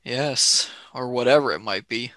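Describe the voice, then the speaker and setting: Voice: medium pitch, unhurried, pushed and bright, no echo. An actor playing a sceptical, wary young male space traveller in a radio play.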